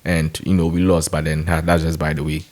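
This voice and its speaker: A man's voice: extremely low pitch, little pitch movement, a little fast, a little mellow. A man with a Ghanaian accent giving informal, conversational opinion commentary in a frank, critical way. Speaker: commentator giving his views on national news.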